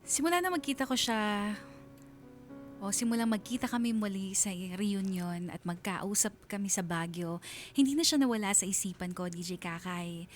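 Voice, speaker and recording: An actress with a Filipino accent voicing a reflective single mother who narrates her love story in a radio drama, measured and wistful. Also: mid-range voice, faint music behind, natural voice.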